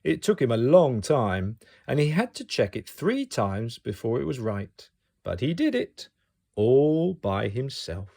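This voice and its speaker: A man reading a picture book aloud to young children as an expressive storyteller. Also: mid-range voice, measured pace, creaky.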